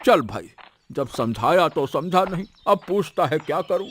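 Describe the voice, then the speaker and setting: An old village uncle played by a man in an audio story. The voice sits a little high for a man. The character is weary, scolding and short on sympathy, advising with exclamations. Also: big pitch swings, moderate pace, a bit bright. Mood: dismissive. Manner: admonishing, exclamatory.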